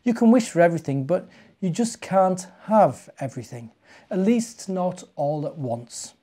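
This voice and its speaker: Storybook mum, read by a man, British, soothing and encouraging, mellow tone. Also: high-pitched, animated, measured pace. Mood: reassuring. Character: gentle, reassuring mother in a storybook.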